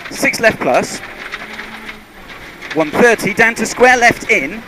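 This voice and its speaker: High-pitched male rally co-driver calling pace notes. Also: expressive, takes it slowly. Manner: clipped, urgent calls in short bursts. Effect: car intercom. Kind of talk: reading pace notes during a rally stage. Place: inside a rally car on a forest stage. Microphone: helmet intercom headset. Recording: car intercom with loud engine and vehicle noise.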